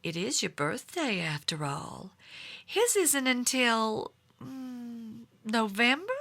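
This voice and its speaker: A woman's voice: a little high, expressive, bright, forceful tone, heavily acted. An actress playing an amorous, theatrical middle-aged First Lady in an audio sitcom, coy and melodramatic. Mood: coy, indulgent. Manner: coy, melodramatic, drawn-out delivery.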